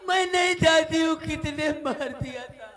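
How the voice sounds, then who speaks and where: A man playing a frightened, grieving young village daughter in folk stage melodrama, wailing and pleading with drawn-out words. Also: very high-pitched, fairly bright tone. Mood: tearful, frightened.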